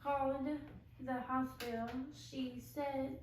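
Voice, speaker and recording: A woman reading a hospital staffer's part haltingly, word by word, stumbling at an amateur table read. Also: high-pitched, level tone. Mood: halting, announcing. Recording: very echoey room, distant mic, faint noise.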